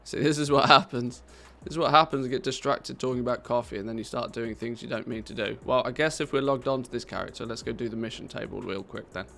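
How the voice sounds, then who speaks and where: British man, live game streamer, casual chatter, laughs easily, creaky gravelly tone. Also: mid-range voice, fast talker, few pauses, bright, forceful tone. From Britain. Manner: chatty, answers viewer questions, laughs easily.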